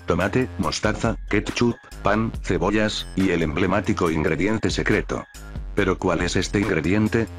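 Male narrator, low register, scripted countdown read with light music underneath. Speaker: narrator of a cartoon top-list video.

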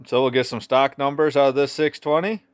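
A man's voice: medium pitch, steady tone, a little fast. A man giving a casual hands-on workshop demonstration as a mechanic, speaking offhandedly in short phrases while he works. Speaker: chainsaw mechanic and video host. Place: indoor workshop bench. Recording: clear background noise, clicking tool handling.

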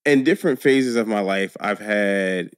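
A man's voice: fairly low pitch, a little slow, clean tone, no echo. A man in his thirties, a guest actor, telling stories with frequent laughter in a podcast studio on a studio mic. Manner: animated storyteller, laughs often. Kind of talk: casual interview chat. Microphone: studio mic on boom arm, headphones.

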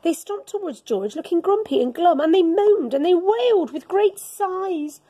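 Animated, high-voiced woman reading a picture book. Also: normal speed. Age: middle-aged. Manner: animated, dramatic storytelling with gasps and whispers. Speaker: teacher reading to young children. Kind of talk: expressive picture-book read-aloud for children. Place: school classroom, indoors.

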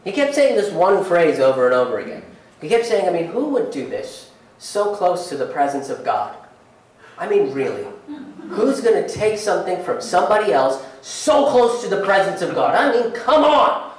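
A man in his thirties performing a stand-up comedy set on a theater stage, telling a story and acting out other people's lines with big jumps in pitch. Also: very animated, moderate pace. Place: theater stage in front of a curtain, live audience. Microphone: handheld mic. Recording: roomy hall audio from a distance.

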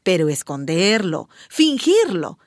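A woman's voice: lowish voice, very animated, slow pace, no room sound, studio quality. A woman playing a frank, playful doctor who hosts a sex-advice radio show, chatty and emphatic. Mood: frank, reassuring. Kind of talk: radio drama advice programme.

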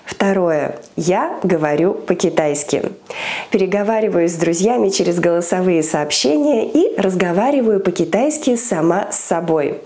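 A woman's voice: fairly low pitch, lively intonation, normal speed, warm tone. A woman in her thirties, a language teacher, giving an upbeat scripted video lesson to camera in a small room on a lapel mic. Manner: upbeat, gesturing with her hands, clear list-like delivery. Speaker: Chinese language teacher and school founder.

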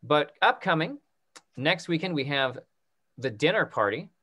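Higher-pitched man hosting an online talkback. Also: big pitch swings, unhurried, pushed and bright. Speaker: instructor hosting a theatre talkback. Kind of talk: informal announcements to a group. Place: indoor room on a video call. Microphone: computer microphone on video call.

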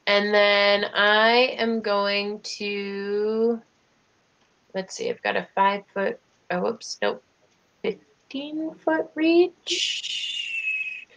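A young woman plays in an online tabletop game, her voice bright. She thinks aloud hesitantly as she counts squares and checks rules. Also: medium pitch, wildly swooping pitch, drawn-out words, pauses often, low-quality recording. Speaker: player. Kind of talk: casual tabletop game play.

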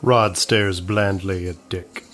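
A man's voice: deep voice, fairly even intonation, slow, fairly bright tone, soft background music. A man narrating a comedy script, reading out the stage directions.

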